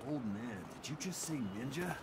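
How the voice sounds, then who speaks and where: A man's voice: medium pitch, expressive, moderate pace, heavy background noise, close to an everyday voice. A man playing a brash, cocky younger fighter in a video game cutscene. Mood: puzzled.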